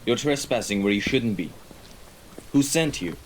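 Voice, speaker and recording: Guy doing a mob boss's right hand, deep voice, formal n measured, quietly scary, audio roleplay with rain in the bg. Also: slight background noise, natural voice, low-quality recording. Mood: stern, commanding. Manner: formal, measured, deliberate wording. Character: menacing, composed mafia right-hand man, formal and dutiful. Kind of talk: scripted audio roleplay acting. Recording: rain and ambience behind the voice.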